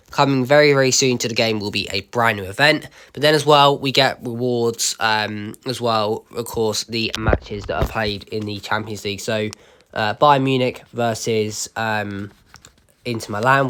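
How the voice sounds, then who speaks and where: A man with a slightly croaky voice, walking viewers through a game's new event in a casual, chatty voice-over with lots of ums. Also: medium pitch, fairly even intonation, normal speed, a bit bright, a little hiss or hum. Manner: chatty, many ums, addresses viewers as guys. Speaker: gaming YouTuber. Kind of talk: casual game walkthrough narration.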